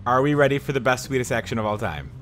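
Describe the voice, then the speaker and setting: A man giving casual, excitable let's-play commentary while he drives in a game, thinking aloud. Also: medium pitch, fast. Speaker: gaming YouTuber. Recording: game music behind.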